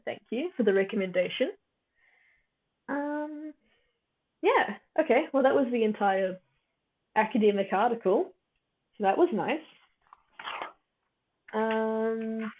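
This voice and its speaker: A young woman from New Zealand chatting on a livestream with a tired voice, summarizing an article and answering viewers in a chatty way with lots of fillers. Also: on the high side. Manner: chatty, laughs often, many fillers, answers chat as she goes. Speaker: livestream host. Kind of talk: casual chat with a live chat, summarizing a reading. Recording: phone-like thin stream audio.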